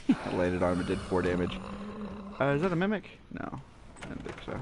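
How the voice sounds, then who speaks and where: A man with a naturally deep voice giving relaxed, rambling gameplay commentary with many fillers, recorded at home on a cheap gaming headset mic. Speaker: gaming channel host. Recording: low-quality headset mic, faint hiss.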